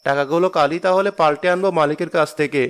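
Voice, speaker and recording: A man voicing a poor, kind-hearted father who works as a cart pusher in a cartoon, talking in a humble, soft-spoken way. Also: a little high, steady tone, fast, background noise, natural voice.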